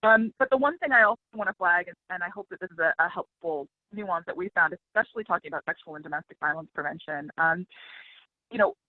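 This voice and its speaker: A woman's voice: on the low side, fast talker, no room sound. A woman giving a webinar presentation, hesitant with many fillers and restarts, speaking over a video call. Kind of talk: casual presentation. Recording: tinny phone-like line, dry.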